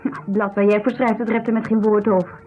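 An actress playing a sharp-tongued, needling wife with a challenging, mocking manner, in naturalistic radio drama style. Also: female voice, mid-range voice, flat delivery, quick pace, close to an everyday voice. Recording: dull 1970s radio broadcast.

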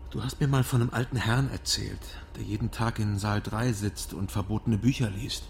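A man's voice: lowish voice, fairly even intonation, moderate pace, creaky, a little hiss or hum. An actor playing a laconic, probing male private detective, understated, in a radio crime drama. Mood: casual coaxing.

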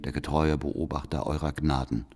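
An actor playing a cunning, servile spy in a radio drama, reading out his secret letter in a formal, deferential, measured way. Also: a bass voice, fairly even intonation, over loud music. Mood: secretive, deferential. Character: cunning male spy, servile and coldly calculating. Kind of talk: radio drama, letter read as inner monologue.

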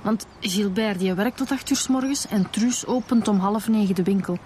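An actress with a Flemish accent playing a matter-of-fact police detective in a radio drama. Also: female voice, medium pitch, normal speed, darkish tone, slight background noise, lightly acted. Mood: businesslike.

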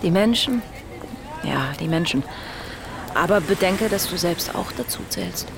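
A woman's voice: lowish voice, expressive, unhurried, creaky. Earnest young woman amid street noise. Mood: earnest, insistent. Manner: earnest, insistent. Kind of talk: radio drama dialogue. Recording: loud outdoor street ambience.

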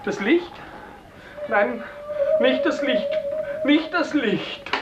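Timid, hesitant gentleman. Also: very high voice, expressive, extremely slow, heavily acted. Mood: uneasy. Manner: hesitant, stammering, apologetic. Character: timid, apologetic, curious clerk, a polite gentleman. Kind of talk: 1950s German radio play acting. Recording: muffled, very noisy old radio recording.

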